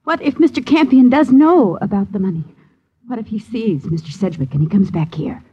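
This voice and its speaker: An actress playing a timid, scheming wife who stammers and repeats herself in uneducated speech, in a 1950s radio drama. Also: female voice, mid-range voice, lively intonation, fairly quick, mellow, a little hiss or hum, close to an everyday voice. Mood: nervous. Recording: dull, muffled vintage radio recording.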